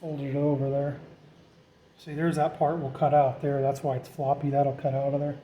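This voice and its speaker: A man's voice: medium pitch, flat delivery, normal speed, mellow, slight background noise, rough recording. A middle-aged man casually narrating a hands-on tutorial as he works, thinking aloud in an informal, self-deprecating way. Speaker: DIY vlogger.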